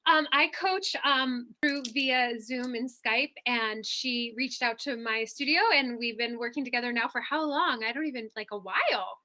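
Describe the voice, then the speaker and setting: A woman's voice: a little high, normal speed, few pauses, pushed and bright. A woman working as a guest coach, chatting casually and enthusiastically, with lots of "like". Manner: enthusiastic, informal, frequent "like". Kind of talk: casual chat.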